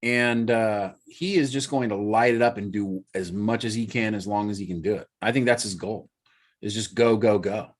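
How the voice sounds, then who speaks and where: A man's voice: fairly low pitch, normal speed. A man in his forties co-hosting a podcast, chatting casually and thinking aloud as he goes. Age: 40s. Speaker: podcast co-host. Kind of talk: casual chat.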